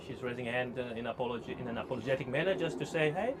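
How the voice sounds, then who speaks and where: A man from Zimbabwe with a Zimbabwean accent doing live cricket commentary, talking in a hesitant way with frequent pauses and fillers. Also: medium pitch, background noise. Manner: hesitant, many uh fillers, laughs easily.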